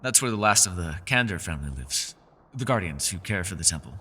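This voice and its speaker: A man's voice: deep voice, lively intonation, fairly quick, pushed and bright, big-room sound, exaggerated voice. A man playing a mysterious, scholarly elf in a fantasy roleplay, with a refined, theatrical and teasing manner. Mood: musing, wistful. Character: mysterious, scholarly male elf, playful yet guarded. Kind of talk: fantasy ASMR roleplay, scripted character acting.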